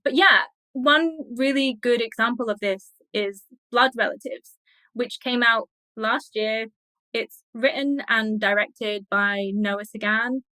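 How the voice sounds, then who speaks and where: A woman's voice: fairly high pitch, takes it slowly, pushed and bright, dry recording, rough recording. A woman speaking as an expert interview guest, explaining in a conversational, scholarly and enthusiastic way.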